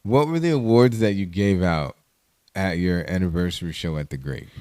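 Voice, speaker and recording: A man hosts a podcast in a low voice that swings widely in pitch. He is playful and hypes up his guest. The recording is dry and close. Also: lively intonation, moderate pace, dark tone, clear, resonant tone, no room sound. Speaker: podcast host. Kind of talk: casual podcast conversation.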